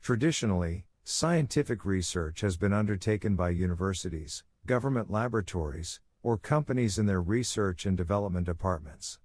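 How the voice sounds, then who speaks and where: A man reading scripted academic passages aloud in a measured, careful, formal way. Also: sits low, animated, darkish tone, dry recording. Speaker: reader of model read-aloud test passages.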